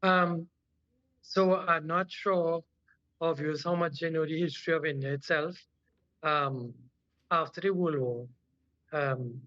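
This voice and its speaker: A man with a Trinidadian accent and a fairly high voice, explaining things as an interview guest. He pauses often mid-sentence as he builds his points. The sound is dry and somewhat dull. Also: fairly bright tone, no echo. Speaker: interview guest, cultural activist.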